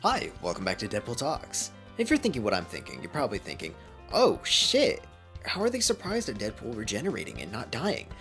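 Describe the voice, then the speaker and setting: A man voicing a brash, crude, wisecracking male mercenary who jokes loudly, over faint music. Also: fairly low pitch, huge pitch swings, a little fast, creaky, voice pushed up, exaggerated voice. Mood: jokey. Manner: loud, crude, jokey.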